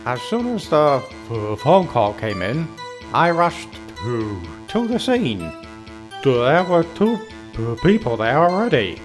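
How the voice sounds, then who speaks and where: An actor playing a bumbling, nervous police detective with a heavy stammer and a deferential manner, in a video game dub. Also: male voice, medium pitch, very animated, slow pace, over music, poor audio. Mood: flustered, stammering.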